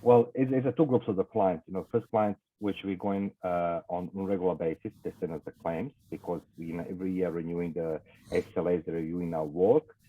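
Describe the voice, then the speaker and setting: A man with a Croatian accent, a guest in an interview, speaking English as a second language with many fillers and halting phrasing. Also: sits low, fairly even intonation, narrow, tinny audio. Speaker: guest, investigations business owner. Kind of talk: casual interview talk.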